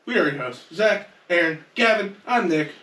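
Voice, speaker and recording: A man's voice: high-pitched, expressive, moderate pace, sharp tone. A man hosting a podcast and leading a casual group chat. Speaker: podcast host leading the discussion. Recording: echoey room, distant mic.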